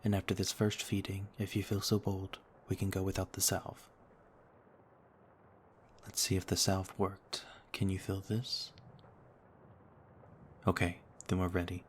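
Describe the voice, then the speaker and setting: Male actor as courtly ancient vampire for ASMR roleplay: deep, restrained in pitch movement, gentle and reassuring. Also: monotone, unhurried, pauses often, slight background noise. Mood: soothing, courteous. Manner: courtly, formal, soothing, reassuring. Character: gentle noble male vampire, over a thousand years old, looks thirty-two.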